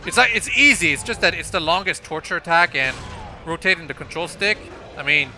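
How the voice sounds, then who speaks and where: A man's voice: on the high side, a little fast. A man giving casual, explanatory commentary on a video game, with some hesitation as he goes. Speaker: let's-play commentator. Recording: loud game music under the voice. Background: loud game music.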